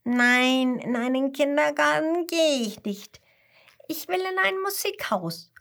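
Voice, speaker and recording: Woman voicing a high-pitched, stubborn little koala boy. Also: slow, gravelly, heavily acted. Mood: stubborn, defiant. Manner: emphatic, excitable. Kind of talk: children's storybook character voice.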